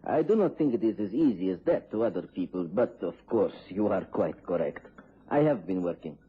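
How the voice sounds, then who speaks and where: An actor playing a formal, earnest mid-European gentleman in a radio drama, with a Central European accent. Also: low-pitched, big pitch swings, husky, heavily acted. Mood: polite, admiring. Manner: formal, deliberate wording. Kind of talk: BBC radio drama acting. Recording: muffled tinny old radio recording.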